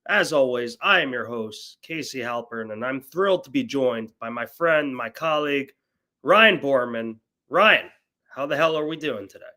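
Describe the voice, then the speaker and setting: A man's voice: medium pitch, lively intonation, moderate pace, pushed and bright. A young adult man hosting a casual sports betting chat, a little nasal from a cold, upbeat and full of fillers and restarts. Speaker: host.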